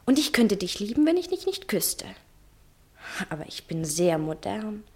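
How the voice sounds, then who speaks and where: A capricious young woman in love, in 1960s radio-play style. Her voice sits at an average female pitch. She is dreamy and teasing, with theatrical phrasing that often softens into a breathy murmur. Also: sing-song, moderate pace. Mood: coquettish, wistful. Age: young adult.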